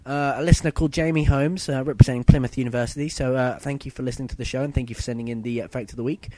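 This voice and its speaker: Young man, husky sore-throat voice, dry close sound, rambling casual podcast host. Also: medium pitch, fairly even intonation, racing, darkish tone, no echo. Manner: chatty, loose and rambling, with fillers and self-corrections. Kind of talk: casual solo podcast chat.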